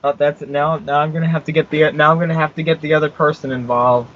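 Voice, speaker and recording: A young man hosts a small internet radio show and talks off the cuff. His voice sits a little high, and he jokes, rambles, laughs and restarts his sentences. The sound is dull and low-fidelity. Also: flat delivery, fast talker, fairly bright tone. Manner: joking, rambling, stumbles over his words and laughs. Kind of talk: casual on-air chat. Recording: muffled lo-fi stream recording.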